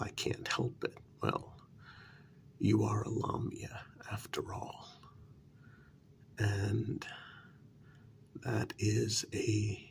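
A man speaks softly and very slowly in an ASMR role-play, as a flattering agent. His voice is heavy with vocal fry and often slips into a breathy whisper. He pauses often and repeats little words soothingly. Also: on the low side. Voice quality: breathy, half-whispered, gravelly. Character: smooth, flattering talent agent to supernatural creatures.

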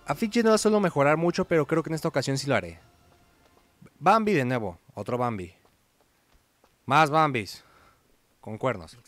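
A man's voice: on the high side, very animated, normal speed, pauses often, fairly bright tone, no room sound. A man giving casual, playful game playthrough commentary as the host. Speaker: gaming video host. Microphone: voice-over mic over game audio. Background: game music.

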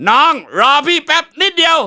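A man's voice: very high voice, brisk, pushed and bright, heavily acted. A man voicing a cocky, trash-talking country tough guy as a comic game announcer, boastful and mocking with slangy swagger. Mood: excited, shouting.

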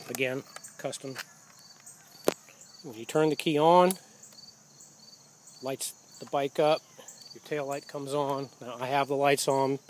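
A man's voice: mid-range voice, a little hiss or hum. A man casually talking through his motorcycle outdoors, explaining details as he films with a handheld camera. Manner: relaxed, plain-spoken, explaining as he goes. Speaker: motorcycle owner. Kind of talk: casual walkaround narration. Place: outdoors beside a parked motorcycle. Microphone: handheld camera or phone.

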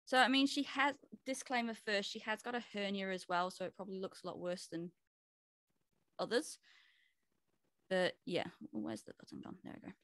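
A woman's voice: medium pitch, steady tone, normal speed, fairly bright tone, dry recording. Chatty New woman on a video call. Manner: chatty, explains with quoted asides. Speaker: personal trainer presenting to a trainers' meeting. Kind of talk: casual presentation over a video call.

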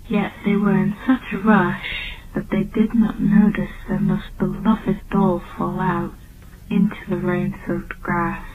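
A woman narrating a dark children's tale as a storyteller, with a soft, breathy, half-whispered delivery. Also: fairly low pitch. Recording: muffled, noisy old tape, phone-like band.

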